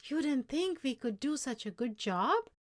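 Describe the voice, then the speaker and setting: A woman takes on a male boss from a children's storybook. He is emphatic and exclaiming, quick to temper yet fair. The delivery is slow and lifted above plain narration. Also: high voice, very animated, pitched above the performer's own voice. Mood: shocked, angry. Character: upset boss, a man, shocked, angry, then fair. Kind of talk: storybook character dialogue.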